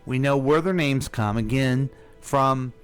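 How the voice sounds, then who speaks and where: A man's voice: mid-range voice, unhurried, clean tone, soft background music. A man hosting a radio chat in a folksy, talkative way, speaking to listeners as folks. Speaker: radio host.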